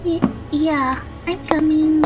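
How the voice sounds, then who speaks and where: Woman playing a very high-pitched, hesitant young girl. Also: steady tone, slow. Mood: hesitant, startled. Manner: hesitant, stammering. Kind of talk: audio drama acting. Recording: loud music behind the voice.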